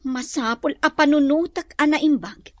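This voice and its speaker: High-voiced troubled young wife. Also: female voice, unhurried. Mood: worried. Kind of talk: radio drama acting.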